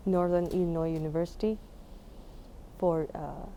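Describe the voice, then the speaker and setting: Mellow young Taiwanese-accented woman. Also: lowish voice, steady tone, moderate pace, a little hiss or hum, rough recording. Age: young adult. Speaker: musician being interviewed. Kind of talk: casual interview answers.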